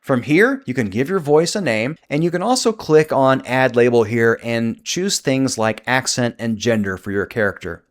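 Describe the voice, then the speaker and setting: A man narrating a scripted software tutorial as its host. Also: medium pitch, lively intonation, normal speed, few pauses.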